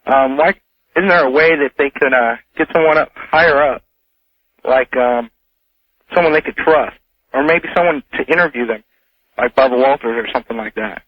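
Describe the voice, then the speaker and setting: An American man calling a radio show, asking questions casually in a halting, tentative, roundabout way. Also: mid-range voice, dry recording. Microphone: telephone. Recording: dull telephone line on radio.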